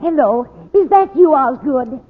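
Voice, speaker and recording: A fussy, fretting landlady from a golden-age radio comedy. Her high voice swoops with motherly alarm over a tinny old recording. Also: lively intonation, unhurried. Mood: fretful, excited. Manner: fretful, exclaiming. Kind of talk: 1940s-50s radio comedy acting.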